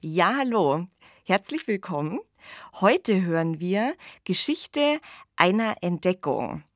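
A woman's voice: on the low side, sing-song, slow, no echo, studio quality. A woman hosting a podcast, giving a conversational introduction. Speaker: podcast host.

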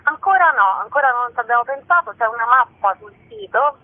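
A woman speaks over a narrow, dry telephone line, in a rather low-set voice for her sex. She is chatty and informal, explaining things as a festival organiser being interviewed on the radio. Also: sing-song, a little fast, no echo.

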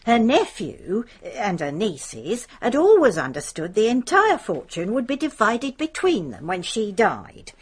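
An actress playing a chatty, deferential, long-serving English maidservant in a radio drama, older and homely in manner. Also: female voice, medium pitch, wildly swooping pitch, measured pace, hardly any pauses, breathy edge, full character voice. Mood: confiding, gossipy. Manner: gossipy, respectful, homely.

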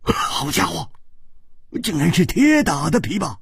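Man playing a hoarse, hot-blooded swordsman. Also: high voice, sing-song, takes it slowly, a bit bright, no room sound, studio quality, pitched above the performer's own voice, heavily acted. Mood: sneering. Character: fierce, reckless male swordsman, hot-blooded and sneering.

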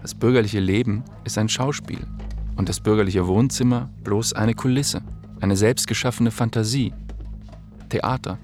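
A man reading scripted documentary narration in a measured, explanatory way. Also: lowish voice, steady tone, darkish tone, soft background music.

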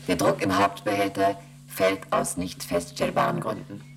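A man voicing an emotionless onboard computer in a radio play, reading out readings and rules in a flat, mechanical way. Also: low-pitched, normal speed, creaky, soft background music. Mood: flat reporting. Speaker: ship's computer.

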